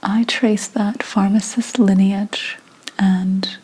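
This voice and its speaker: An indignant, conspiratorial witch from the 17th century, acted out by a woman in an ASMR roleplay. Her voice is a very breathy, husky whisper at a middle pitch. She speaks slowly and deliberately, leaving dramatic pauses between phrases. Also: flat delivery, a little mellow, low-quality recording.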